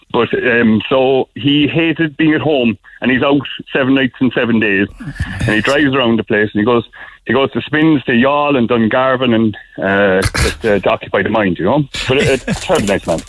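A man's voice: medium pitch, moderate pace, no echo, poor audio. Effusive man calling in, dry sound. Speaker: phone-in caller. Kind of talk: casual anecdotal chat.

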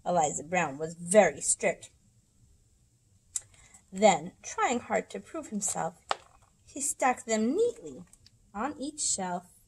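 Lady reading a rhyming kids book, slow n dramatic, really swoopy pitch. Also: lively intonation, darkish tone, a little hiss or hum, poor audio. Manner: dramatic, deliberate storybook phrasing. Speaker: librarian reading picture books aloud. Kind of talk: expressive rhyming read-aloud for children. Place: indoors in a library room.

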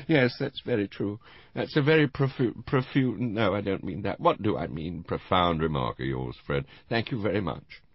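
A man's voice: fairly low pitch, lively intonation, normal speed, slightly rough, no echo, muffled recording, voice pushed up. A man voicing a tipsy, indignant older woman who rambles and fumbles for words, in an audiobook. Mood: tipsy, fumbling. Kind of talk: audiobook character voice.

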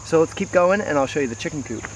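A man in his thirties guides an outdoor tour in a casual, explanatory way, with pauses as he thinks. His voice sits a little high. He is some way from the camera's mic, with clear outdoor noise and insects around him. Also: expressive. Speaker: host giving a garden tour. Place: outdoors in a wooded backyard garden. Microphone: camera mic several meters away. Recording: distant camera mic, noisy outdoor ambience.

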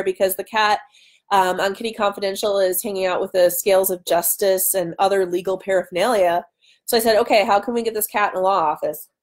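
Chatty woman on a casual livestream. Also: medium pitch. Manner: chatty, self-interrupting tangents. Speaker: author hosting a live chat. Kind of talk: casual chat, answering viewer questions. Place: home dining room. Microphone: webcam at arm's length.

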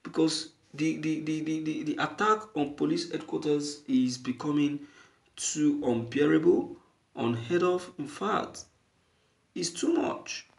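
A man giving opinionated news commentary as an online commentator, halting and deliberate, repeating phrases emphatically for stress. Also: on the high side, gravelly.

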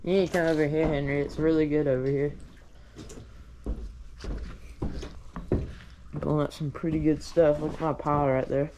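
A man's voice: on the high side, normal speed, pauses often. Casual young man narrating to camera outdoors. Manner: relaxed, chatty narration to camera. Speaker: vlogger. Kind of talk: casual first-person commentary. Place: outdoors by a creek. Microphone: chest-mounted action camera. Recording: outdoor noise on an action camera.

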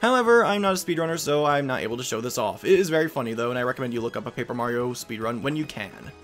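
A man's voice: mid-range voice, animated, very fast, a bit bright, faint music behind. A man hosting a let's play, giving casual game commentary with rambling, joking asides.

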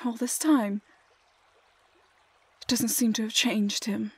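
An actress voices a young woman in a dramatic fantasy roleplay, tender and anguished. Her high voice moves slowly and hesitantly, often hushed almost to a whisper. The sound is close and dry. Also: steady tone, husky, no echo, full character voice. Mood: sorrowful, shaken.

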